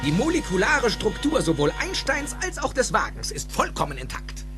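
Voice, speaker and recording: An actor playing an excitable, eccentric older scientist in a German audio drama, theatrical and emphatic, with precise technical phrasing. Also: male voice, high-pitched, normal speed, music in the background. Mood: proud, explaining.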